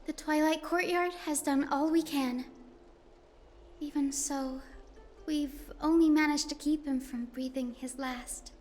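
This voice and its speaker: A woman playing a gentle, earnest young female healer in a video game, hesitant and caring. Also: high-pitched, fairly even intonation, a little slow, soft background music. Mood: worried. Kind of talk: English video game voice acting.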